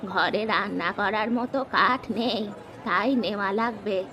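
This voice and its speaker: A woman voicing a gentle, thrifty old grandmother in a cartoon, speaking slowly and mildly. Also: mid-range voice, some chatter behind, a little hiss or hum. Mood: pleading.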